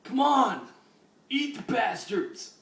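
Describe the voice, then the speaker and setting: A mad hippie villain acted by a man reading a story aloud, shouting angrily in a frantic high voice. It echoes in a room, away from the mic. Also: very animated, takes it slowly, full character voice. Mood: furious. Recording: roomy, distant mic, music behind.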